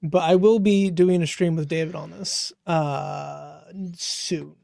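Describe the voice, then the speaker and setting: High, gravelly-voiced man hosting a stream. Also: fairly even intonation, warm tone, no room sound. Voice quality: self-described high-pitched voice. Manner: joking, quick to laugh. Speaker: livestream host. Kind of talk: casual chat.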